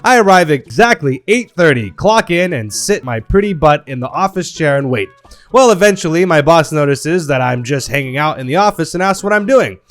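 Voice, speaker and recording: A man's voice: on the high side, lively intonation, normal speed. A man reading online stories aloud in a playful, wry storytelling manner. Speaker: narrator reading Reddit stories.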